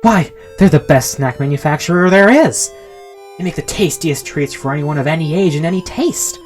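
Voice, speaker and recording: A man's voice: fairly high pitch, steady tone, brisk, a little mellow, voice pushed up, natural voice. Man acting an earnest storybook everyman, male, exclamatory, easily flustered, music behind. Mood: excited. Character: excitable, earnest male snack-factory worker, easily panicked.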